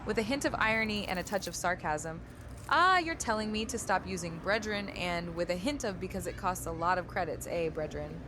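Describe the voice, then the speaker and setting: A synthetic female text-to-speech voice reading viewer messages and its own overly formal replies in a flat way. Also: fairly low pitch, brisk, noisy background.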